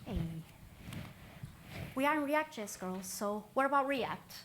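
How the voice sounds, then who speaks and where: A woman's voice: high voice, animated, unhurried, a bit bright, slight background noise. A young woman from Portugal, giving a chatty, upbeat talk at a tech meetup, often laughing at herself. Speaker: conference speaker.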